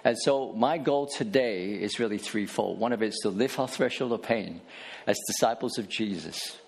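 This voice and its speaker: A man's voice: fairly low pitch, normal speed, gravelly, breathy edge. Conversational pastor in his forties. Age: 40s. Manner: conversational, many fillers and restarts. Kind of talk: sermon. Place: church.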